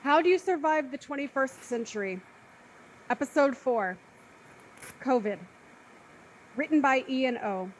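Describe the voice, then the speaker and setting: Casting: female narrator for stage directions, fairly high voice, scripted read, faint noise behind. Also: animated, unhurried, pauses often, low-quality recording.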